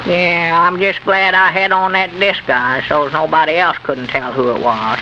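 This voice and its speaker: A man's voice: fairly high pitch, steady tone, moderate pace, pushed and bright. An actor playing a good-natured, gullible country man with a rural Southern accent, folksy and stammering, in an old-time radio comedy style. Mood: sheepish. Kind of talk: 1940s radio comedy acting. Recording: noisy, muffled old radio recording.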